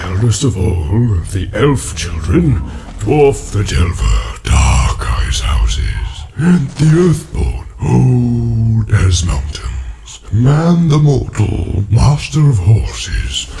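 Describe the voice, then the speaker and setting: A man voicing an ancient, ponderous male tree giant in an audiobook, cautious and deliberate, humming and musing between phrases. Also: lowish voice, big pitch swings, creaky, mellow, a little hiss or hum, exaggerated voice. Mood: reciting, pondering. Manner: slow, deliberate, drawn-out hums and musing repetitions. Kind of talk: audiobook character acting.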